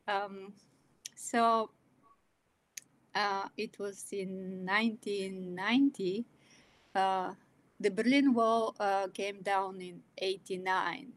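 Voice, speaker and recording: A woman in her sixties from Bulgaria, speaking English with a Bulgarian accent, telling her life story as a guest with many pauses and fillers. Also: mid-range voice, fairly even intonation, no room sound, rough recording. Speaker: guest, illustrator and author. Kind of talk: personal storytelling in an interview.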